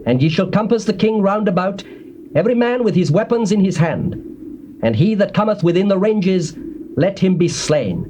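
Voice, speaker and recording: High-voiced, solemn priest Jehoiada, male. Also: animated, mellow. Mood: solemn command. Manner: declamatory, deliberate instruction. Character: Jehoiada, solemn, authoritative priest instructing temple guards. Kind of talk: dramatized scripture reading. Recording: faintly noisy old cassette tape.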